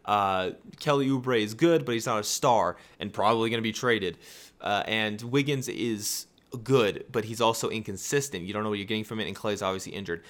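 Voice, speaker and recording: A young man hosts a casual sports podcast, his lowish voice raspy and worn with fatigue. He rambles, restarts his sentences and fires off excited rhetorical questions. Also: fairly quick. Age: young adult. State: tired. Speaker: podcast host.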